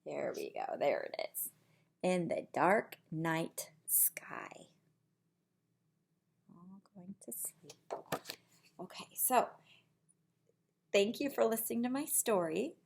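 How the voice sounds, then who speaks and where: A middle-aged woman reading a picture book to young children in a deliberate, sing-song way, with simple asides, recorded in a room at home. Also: fairly low pitch, animated, vocal fry, darkish tone, slightly rough. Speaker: preschool teacher reading aloud. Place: small indoor room at home.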